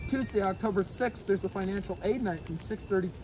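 A man's voice: high voice, fairly quick, music in the background, natural voice. A young man acting a panicky hiker lost in the woods in an amateur horror parody, sounding dramatic and exasperated. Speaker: student performer in school video show. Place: outdoors in a park at night. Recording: noisy outdoor handheld camera audio.

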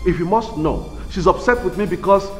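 A man's voice: mid-range voice, huge pitch swings, normal speed. A man playing an evasive, henpecked husband. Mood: exasperated. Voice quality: evasive, placating. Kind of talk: film drama acting. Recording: echoey room with music bed.

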